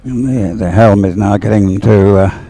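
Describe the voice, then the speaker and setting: Mellow, low-voiced man commentating live. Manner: halting, unhurried, thinking aloud. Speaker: sports commentator. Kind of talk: live, chatty race commentary.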